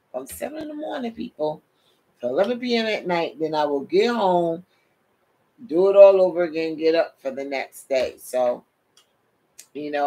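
A middle-aged woman from New York with a New York accent and Caribbean touches, giving a sermon-style testimony from a home office over a webcam, speaking animatedly and calling listeners "people". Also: low voice. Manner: animated, addresses listeners as "people", gestures with hands. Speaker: preacher and show host. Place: home office, seated in desk chair.

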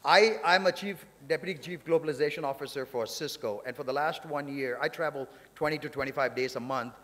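Guy in his 50s chairing a conference talk, slightly high voice, echoey hall mic, chatty but emphatic. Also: a little fast, fairly bright tone. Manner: conversational, emphatic, repeats phrases for emphasis. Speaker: session chair and speaker at a technology summit. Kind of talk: conference speech. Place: large indoor conference hall, on stage. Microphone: podium gooseneck microphones. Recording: reverberant hall sound through podium microphone.

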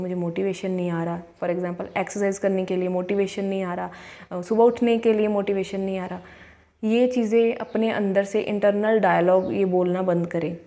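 A woman's voice: mid-range voice, dark tone. Earnest young woman coaching to camera. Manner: earnest, repeats affirmations slowly. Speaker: law of attraction coach. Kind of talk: motivational talk to camera. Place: indoors, in front of a plain wall. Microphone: clip-on lapel mic.

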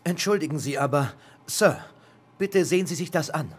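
A reserved male chauffeur and fighter, played by an actor, pitched a little high. He answers in short, deferential phrases, with faint noise behind him in an audio drama. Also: normal speed, close to an everyday voice. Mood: deferential, urgent. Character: terse, polite male martial-artist chauffeur and servant.

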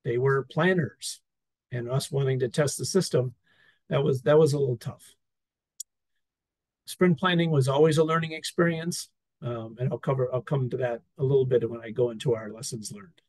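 A man presenting an experience report over slides on a video call, talking in a relaxed, wry way with fillers and restarts. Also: mid-range voice, normal speed, no room sound. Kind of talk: conversational talk over slides.

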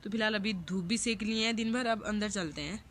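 A woman's voice: medium pitch, a little fast, a bit bright, slight background noise. A young woman from the northern Indian hills, chatting casually to her phone camera outdoors as a home vlogger. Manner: chatty, homely, addresses viewers as friends. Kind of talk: casual vlog talk to camera. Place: rooftop outdoors in hill town. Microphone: phone camera at arm's length.

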